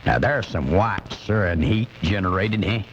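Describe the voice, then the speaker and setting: A man's voice: deep voice, expressive, a little slow, background noise. A man playing an angry, blustering redneck commentator in a comic radio monologue, ranting in folksy, ungrammatical indignation. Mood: indignant, ranting. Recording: muffled off-air cassette radio recording, music.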